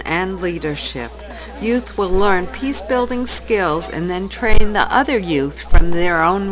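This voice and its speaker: A woman's voice: mid-range voice, a bit bright, low-quality recording. A woman presenting a programme in a scripted narration style.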